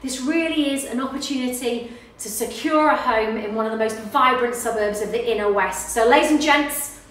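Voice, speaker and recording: A woman in her thirties with an Australian accent, working as an auctioneer and calling bids live with brisk, upbeat sales patter. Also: on the high side, fairly bright tone, far from the mic. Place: small office studio in front of a backdrop. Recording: echoey room, voice heard from off the mic.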